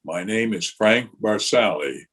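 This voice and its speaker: A man playing a troubled, sheepish married engineer in a radio detective drama, speaking in a hesitant, measured way. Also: on the low side, a bit bright, breathy edge. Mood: worried, hesitant. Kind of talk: old-time radio drama re-creation.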